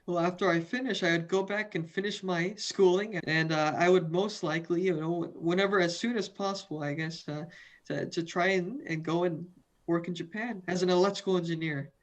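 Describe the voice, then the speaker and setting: A man's voice: high-pitched, fairly even intonation, moderate pace. A young man from the western United States, a student guest in a video interview, earnest and often repeating and restarting words. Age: young adult.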